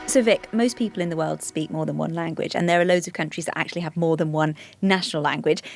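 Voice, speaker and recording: A woman's voice: sits low, fairly even intonation, fast, a little hiss or hum. A woman hosting a radio podcast, talking in an upbeat, chatty way. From United Kingdom. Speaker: podcast host. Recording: dry studio voice with faint music.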